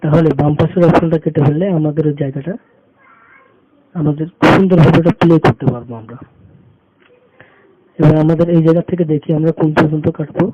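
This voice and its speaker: A man giving an unscripted step-by-step tutorial, halting and repeating phrases as he works. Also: fairly high pitch, fairly even intonation. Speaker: tutorial instructor. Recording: muffled phone-like audio with background noise.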